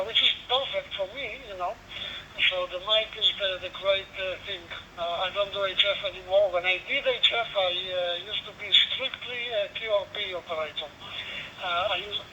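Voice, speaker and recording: A man chatting casually as a ham radio operator over a digital radio link, repeating himself as he goes. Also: high-pitched. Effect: digital radio transmission. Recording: noisy, dull digital radio audio.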